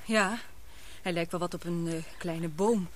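An actress playing an anxious but brave, tender young woman in a radio drama, with breathy, emotional, hesitant delivery. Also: fairly low pitch, steady tone, measured pace, a little hiss or hum, close to an everyday voice. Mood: excited.

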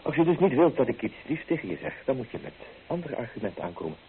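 A man's voice: mid-range voice, sing-song, quick pace, a little hiss or hum, lightly acted. Tinny radio-play recording, actor as sardonic flirtatious man. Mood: teasing, flirtatious. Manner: teasing, theatrical, persuasive.

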